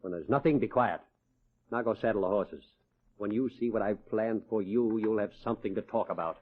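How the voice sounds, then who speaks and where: A man's voice: on the low side, brisk. An actor playing a stern, middle-aged wealthy rancher father, blunt and commanding, in 1940s radio drama style. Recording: muffled, husky old-time radio recording.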